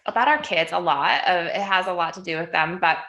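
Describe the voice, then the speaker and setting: A woman's voice: lowish voice, brisk, bright, forceful tone, echoey room, lo-fi sound. A woman co-hosting a podcast, opening the topic in a chatty way with many fillers. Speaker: podcast co-host. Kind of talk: casual chat.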